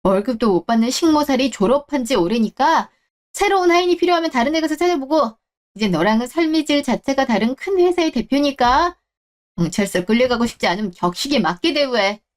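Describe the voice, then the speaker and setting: High-pitched, scornful ex-wife, acted by a woman. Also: sing-song, brisk. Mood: exasperated, defiant. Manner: scolding, cutting, defiant. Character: proud, scornful ex-wife, now a company executive. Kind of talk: Korean radio-drama story acting.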